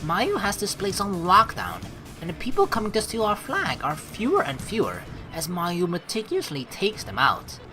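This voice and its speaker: A woman streamer reading a game's narration aloud, mixed with casual, expressive commentary. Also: fairly low pitch, normal speed, music in the background. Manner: expressive, animated asides. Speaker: let's play streamer.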